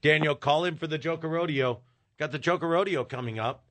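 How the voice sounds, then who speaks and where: A man's voice: a little high, a little fast, no echo. A man hosting a call-in talk radio show, ranting in disbelief and riffing with callers. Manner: animated, incredulous ranting. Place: radio studio. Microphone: studio microphone.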